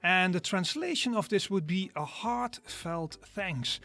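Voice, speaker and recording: Man teaching a slow, careful lesson. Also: sits high, lively intonation, pushed and bright. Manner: slow, careful explanations with drawn-out example words. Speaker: language teacher. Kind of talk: scripted online course lesson.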